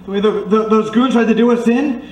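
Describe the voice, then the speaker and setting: A woman playing a quick-thinking, sassy girl Friday to a detective in an old-time radio drama. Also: a little high, darkish tone, natural voice. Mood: puzzled. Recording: echoey, distant, faint noise.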